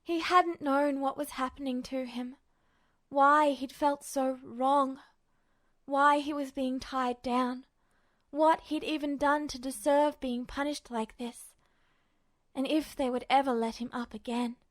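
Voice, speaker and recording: A woman reading a story aloud as an amateur fiction narrator, in an expressive scripted style. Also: high-pitched, measured pace, no room sound.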